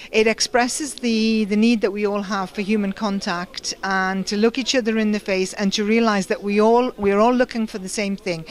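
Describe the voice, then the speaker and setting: A middle-aged British woman translator, speaking thoughtfully in an interview and pausing often with fillers. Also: mid-range voice. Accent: British English. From United Kingdom. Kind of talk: conference interview and personal story.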